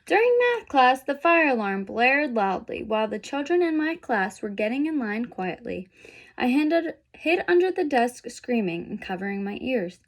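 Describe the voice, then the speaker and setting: A woman's voice: sits high, big pitch swings, moderate pace, exaggerated voice. A woman voicing a sensitive, misunderstood young boy zebra in a picture book, plaintive and earnest. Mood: earnest, troubled.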